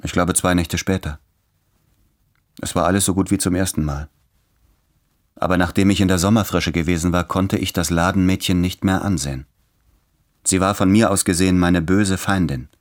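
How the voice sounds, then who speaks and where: An actor playing a guilt-ridden, fearful office clerk in a literary radio drama, speaking in a measured, introspective way. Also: male voice, sits low, steady tone, close to an everyday voice. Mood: confessional recollection.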